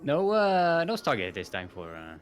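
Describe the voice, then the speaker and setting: A man calling a live video game match play by play, often halting on fillers as he tracks the action. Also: mid-range voice, huge pitch swings, clean tone. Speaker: esports caster.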